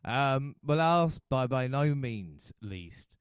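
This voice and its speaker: Smooth-voiced male podcast host. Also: medium pitch, lively intonation, slow, dry recording, studio quality. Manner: jokey, laughs often, mumbles asides. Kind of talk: casual chat.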